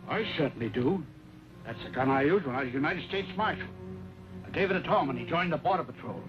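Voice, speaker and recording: An actor playing an earnest former marshal in an old-time western drama. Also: male voice, fairly high pitch, animated, fast talker, natural voice. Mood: grave. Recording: dull vintage soundtrack with music behind.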